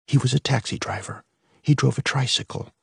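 A man's voice: medium pitch, lively intonation, measured pace, a little mellow, breathy edge, no room sound, clean studio sound, pitched above the performer's own voice. A man voicing a frightened, stern mother who whispers urgent warnings to her children and drills them harshly.

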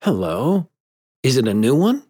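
A man's voice: mid-range voice, big pitch swings, unhurried, mellow, husky, voice pushed up. A man voicing a blunt, boastful little boy. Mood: careless, curious. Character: boastful, careless little boy who never grows up. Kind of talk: storybook character voice.

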